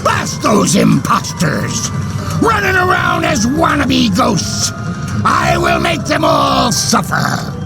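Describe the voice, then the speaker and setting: A menacing ghost pirate from a cartoon game, shouty n high, drags words out, gravelly, loud music behind. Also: male voice, huge pitch swings, slow, exaggerated voice. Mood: furious. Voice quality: gravelly, forceful. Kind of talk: cartoon video game acting.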